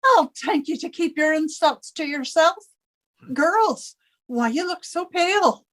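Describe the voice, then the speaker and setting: A woman's voice: sits high, lively intonation, measured pace, fairly bright tone. A woman playing a fussy, coquettish older landlady in a 1950s-style radio comedy. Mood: affronted.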